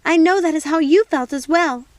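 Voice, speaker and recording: Storybook young heroine, played by a female reader: high, urgent, distraught, defiant, recorded dry and close. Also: moderate pace, darkish tone, no room sound, close to an everyday voice. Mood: indignant. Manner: urgent, impassioned pleading.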